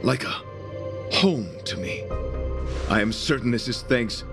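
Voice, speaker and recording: An actor playing a noble, devoted knight in a video game, speaking with formal, florid, solemn chivalry. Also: male voice, medium pitch, lively intonation, unhurried, gravelly. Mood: solemn devotion. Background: prominent music.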